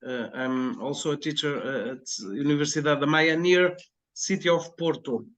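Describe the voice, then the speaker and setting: A man from Portugal with a Portuguese accent, a teacher introducing himself on a video call, halting and searching for words. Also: on the high side, steady tone, fairly bright tone.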